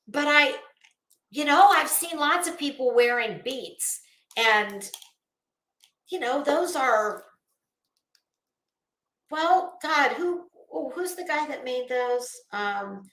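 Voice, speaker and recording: A woman giving rambling, casual online commentary in a sarcastic, mocking manner, with halting delivery and drawn-out pauses. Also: fairly high pitch, sharp tone. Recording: roomy, echoey sound.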